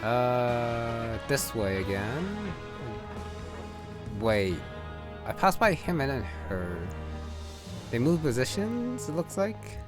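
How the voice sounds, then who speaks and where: A man's voice: medium pitch, very animated, takes it slowly, pauses often. A man giving casual, offhand gameplay commentary, thinking aloud and trailing off. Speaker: gamer playing and commenting. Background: game music.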